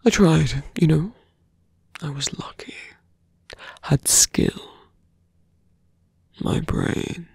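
A man playing a seductive, embittered slum-born villain in a fantasy ASMR roleplay, speaking slowly and intimately in a breathy, gravelly half-whisper. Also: a little high, a little mellow, dry recording, heavily acted. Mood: bitter, intimate. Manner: slow, intimate, half-whispered, deliberate pauses.

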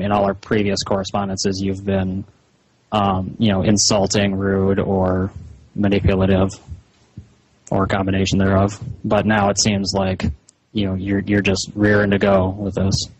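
A man speaking as a caller on a phone, in a measured, hesitant but insistent way, with slightly muffled sound. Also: fairly low pitch, little pitch movement. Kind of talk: slow, halting phone conversation. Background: phone call recording.

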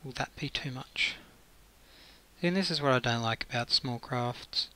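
A man's voice: medium pitch, measured pace, bright, forceful tone. A man giving casual gameplay commentary as he builds, muttering to himself and thinking aloud. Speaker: gaming video creator. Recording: faint hiss and clicking.

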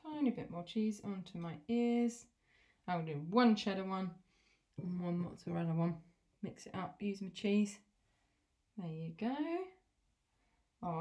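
A woman's voice: lowish voice, measured pace, long pauses. A young woman teacher demonstrating a recipe for children at home. Her delivery is patient and encouraging, breaking each step down simply. She is heard in a domestic kitchen on the camera's own mic. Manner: gentle, encouraging, step-by-step explaining.

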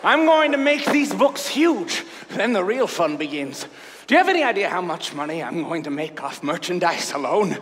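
A greedy, theatrical conman wizard villain in a stage comedy. The voice sits high and leaps around with gleeful boastfulness and a sharp, forceful edge. It rings in a hall. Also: big pitch swings, moderate pace. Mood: manic glee. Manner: theatrical, boastful, swinging into shouts. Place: live staged reading on a stage. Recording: reverberant hall, faint noise.

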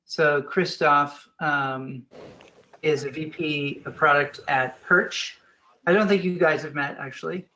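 A man with a slightly raised pitch and a sharp, bright voice leads a conference call. He brings in each guest and asks follow-up questions. He agrees often with short affirmations. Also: steady tone, slow, poor audio. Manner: encouraging, with frequent short affirmations. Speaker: call moderator.

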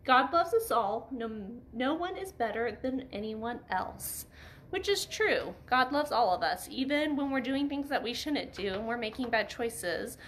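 A woman's voice: a little high, lively intonation, a little slow, fairly bright tone, slight background noise. A woman, reading and simply explaining a story for children, often asking them questions. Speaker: Bible story reader for children. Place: living room by a fireplace.

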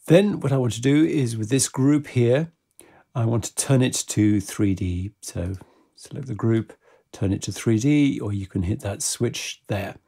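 Man, mellow tone, raspy edge, software tutorial narration, methodical, informal. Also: medium pitch, a little slow. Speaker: tutorial presenter.

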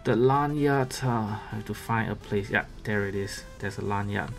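A man gives casual, halting unboxing commentary as a gadget reviewer. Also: lowish voice, gravelly. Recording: music playing behind the voice.

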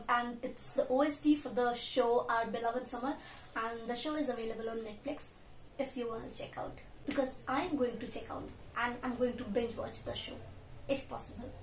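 A woman's voice: a little high, slight background noise. A young woman from India with an Indian English accent, chatting casually to camera as a reaction vlogger, self-deprecating and full of "so yes" fillers. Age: young adult. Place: small room at home, indoors. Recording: roomy home room echo, faint music.

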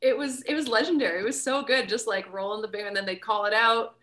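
Young canadian woman guesting on a podcast, bright voice, upbeat, says like a lot, laptop mic on a video call. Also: fairly high pitch, fast. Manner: lively, upbeat, lots of "like". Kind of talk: casual chat. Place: home room, video call. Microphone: laptop camera mic, video call.

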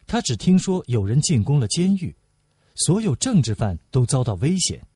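A man's voice: medium pitch, big pitch swings, moderate pace, warm tone, husky, dry recording. A man reading a novel aloud as scripted audiobook narration.

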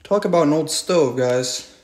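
Excited man exploring abandoned places. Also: fairly high pitch, unhurried. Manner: excited, keeps addressing viewers as "guys". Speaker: urban explorer vlogger. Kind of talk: walkthrough narration while exploring. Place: abandoned buildings and old vehicles, indoors and out.

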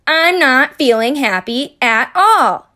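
A woman's voice: high voice, expressive, sharp tone, heavily acted. Woman voicing a dramatic, bored cartoon state, Kansas. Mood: grumpy. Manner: dramatic, drawn-out emphasis.

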